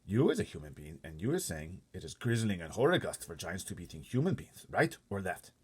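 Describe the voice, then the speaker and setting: Man voicing a big earnest giant, husky and deep. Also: sing-song, brisk, heavily acted. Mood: earnest, indignant. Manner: muddled grammar and made-up words, emphatic. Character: big gentle kindly giant, earnest, folksy and mangled grammar. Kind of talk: storybook character voice.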